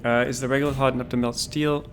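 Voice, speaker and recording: Calm, low-key man streaming a game. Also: a little hiss or hum. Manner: relaxed, unhurried, thinks aloud with restarts. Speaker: livestreamer. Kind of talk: casual gameplay commentary.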